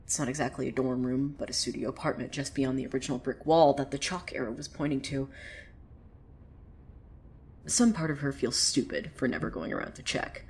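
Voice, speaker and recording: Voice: mid-range voice, brisk, lightly acted. A woman playing a wry, theatrical narrator of a surreal small town in a scripted audio drama, telling the tale dramatically. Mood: somber, hushed. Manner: theatrical, swinging from hushed to excited. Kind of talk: dramatic scripted storytelling monologue.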